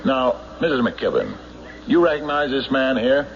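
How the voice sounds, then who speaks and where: An actor in an old-time radio drama playing a shrewd, relentless sheriff who questions suspects with deliberate, accusatory pauses. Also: male voice, mid-range voice, huge pitch swings, gravelly, highs cut off. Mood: commanding, sardonic. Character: shrewd, relentless small-town sheriff interrogating murder suspects. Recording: noisy band-limited old radio broadcast recording.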